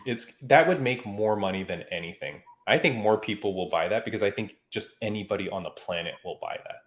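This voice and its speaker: A man from Canada hosting a podcast, talking in a relaxed, chatty way. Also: fairly low pitch, a little fast. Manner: relaxed, chatty, frequent "like" and "I mean". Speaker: podcast host. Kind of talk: casual podcast chat.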